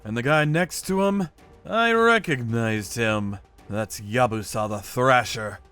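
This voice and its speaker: A man's voice: mid-range voice, takes it slowly, pushed and bright, smooth, faint music behind. A man voicing a grim, coolly menacing vigilante torturer, narrating his revenge work in a hard-edged, dramatic manga style. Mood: grim, resolute.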